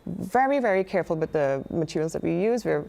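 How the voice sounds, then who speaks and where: A woman's voice: lowish voice, animated, fairly quick, gravelly, mellow. A woman with a Trinidadian accent, a designer guest on a live morning TV show, talking earnestly with many fillers and restarts. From Trinidad and Tobago. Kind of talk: live TV interview.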